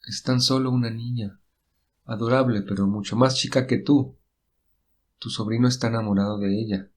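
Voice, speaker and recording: A man's voice: medium pitch, fairly even intonation, normal speed, close to an everyday voice. Man acting as Albert, stern self-reproaching man. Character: Albert, adult man scolding himself over forbidden love. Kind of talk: acted inner voice in audio story.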